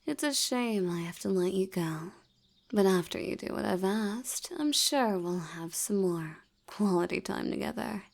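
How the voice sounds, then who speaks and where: A woman voicing a seductive, commanding vampire hypnotist in an intimate audio roleplay, soft and breathy, often near a whisper, with a teasing, coaxing manner. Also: medium pitch. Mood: satisfied, fond. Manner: slow, coaxing, teasingly dominant.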